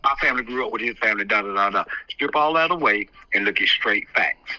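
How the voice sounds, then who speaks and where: Man, emphatic advice-giver, hard forceful tone, repeats himself for effect, muffled phone audio. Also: fairly low pitch, lively intonation, fast, no room sound. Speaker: community activist giving advice on a call. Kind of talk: candid phone conversation, advising. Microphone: telephone. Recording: muffled, band-limited phone call.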